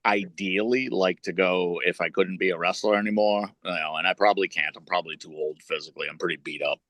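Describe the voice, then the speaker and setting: A man's voice: deep voice, quick pace, fairly bright tone, no room sound. A middle-aged man, a wrestler and podcast co-host, talking at length in casual chat, swearing and building long points.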